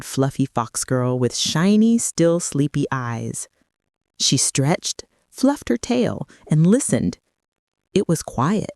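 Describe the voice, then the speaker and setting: Female storyteller for bedtime tales, deep-voiced and gentle, soothing yet melodically varied. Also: no room sound, clean studio sound. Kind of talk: calming children's storybook narration.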